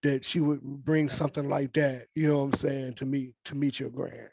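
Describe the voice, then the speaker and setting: A man's voice: mid-range voice, level tone, normal speed, vocal fry, darkish tone. An American man leading a script discussion, talking casually and conversationally and often checking that listeners follow. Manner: conversational, often checks with "you know what I'm saying". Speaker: scriptwriter leading a virtual table read. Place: indoors on a video call.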